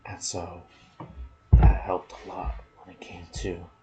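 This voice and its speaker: A man giving soft-spoken ASMR commentary on a video game, slipping into whispers and pausing between short phrases. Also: sits low, completely flat delivery. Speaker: gaming channel host.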